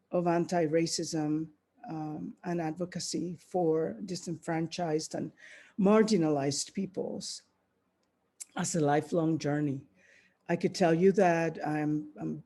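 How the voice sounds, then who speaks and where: A woman's voice: sits low, dark tone. A woman from Puerto Rico giving a reflective keynote talk over a video call, with many fillers and restarts. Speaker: keynote speaker.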